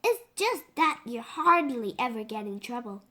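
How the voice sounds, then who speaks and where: A woman's voice: a little high, very animated, normal speed, sharp tone, full character voice. A young girl voicing a frank, slightly smug schoolgirl in a storybook, with a knowing manner.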